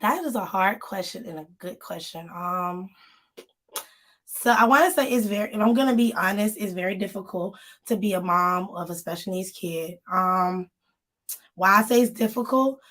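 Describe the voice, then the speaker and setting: Woman co-host, casual live chat, a bit hoarse, speaks right to the viewers. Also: medium pitch, moderate pace, a bit bright. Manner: chatty, addresses viewers directly. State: slightly hoarse, voice still recovering. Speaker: magazine co-host.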